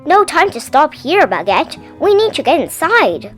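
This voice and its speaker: A woman's voice: sits high, sing-song, a little slow, faint music behind. A woman voicing an eager, curious little girl in a storybook, exclamatory and adventurous.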